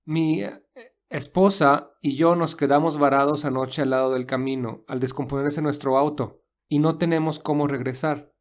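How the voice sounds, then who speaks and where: A man acts out a polite, resourceful young man from an audio drama. His voice sits a little high for a man. He speaks in an earnest, reassuring way. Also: steady tone, moderate pace, close to an everyday voice. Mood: courteous, persuasive. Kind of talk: audio story character dialogue.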